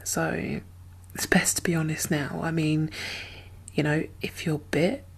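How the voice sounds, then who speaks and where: A woman with a low, breathy voice speaks softly in an ASMR roleplay, often slipping into a whisper. Her delivery is slow, gentle and halting, as a guarded, caring survivor of a zombie apocalypse. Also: steady tone. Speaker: ASMR performer playing a role. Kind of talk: soft-spoken ASMR roleplay.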